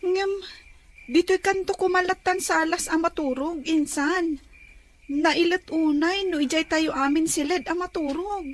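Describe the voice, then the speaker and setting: A woman playing a lively young granddaughter in a radio drama, who talks quickly and excitably. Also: very high voice. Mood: chatty.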